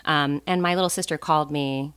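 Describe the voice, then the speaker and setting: American woman podcast guest, pretty low voice, joking around and telling stories, says like a lot. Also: steady tone, a little fast, a bit bright, clear, resonant tone. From born in Taiwan, raised in a US Navy family. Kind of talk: casual conversational storytelling.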